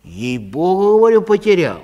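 Man acting an effusive, naive little citizen, swooping pitch. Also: very animated, normal speed, dark tone, dull audio. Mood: pleading. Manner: colloquial, earnest, effusive. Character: naive, pleading, grateful man who lost a galosh. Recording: long hall reverb.

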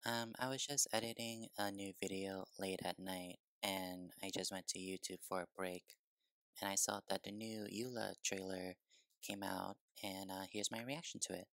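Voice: sits low, steady tone, moderate pace, fairly bright tone, no echo. A young adult giving casual reaction commentary in informal, gushing fan talk. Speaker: YouTube reactor.

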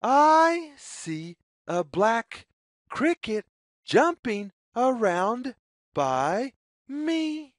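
Lady reading a kids picture book out loud, sing-song, highish voice, really dry close sound. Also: big pitch swings, dry recording. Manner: deliberate, sing-song phrasing. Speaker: storyteller reading aloud to children. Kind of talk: read-aloud children's picture book, slow sing-song.

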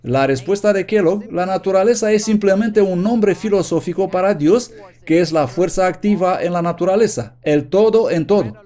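An earnest male preacher delivers a sermon with steady conviction. His voice sits a little high yet stays soft and mellow. He reads quoted passages aloud with careful emphasis. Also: moderate pace. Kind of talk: sermon read from notes, Spanish voice-over.

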